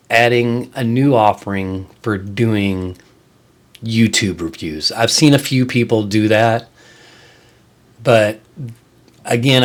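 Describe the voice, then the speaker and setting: A man hosting his own channel, talking casually to viewers in an informal, explanatory and somewhat halting way. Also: on the low side. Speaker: host of a channel on music reviews and tabletop games.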